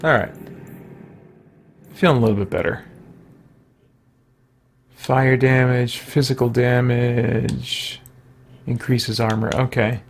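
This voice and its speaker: Guy streaming a video game, mid-pitched voice, kinda muttering his thoughts out loud as he goes. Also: steady tone, slow, vocal fry, pauses often, slight background noise. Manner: thinking aloud in short murmured remarks. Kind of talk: casual livestream game commentary.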